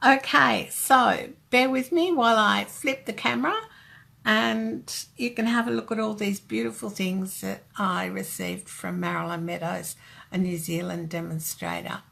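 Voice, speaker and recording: A woman's voice: medium pitch, fairly even intonation, measured pace, gravelly, a bit bright. An older woman with an Australian accent, hosting a rambling, chatty craft live stream and greeting viewers by name.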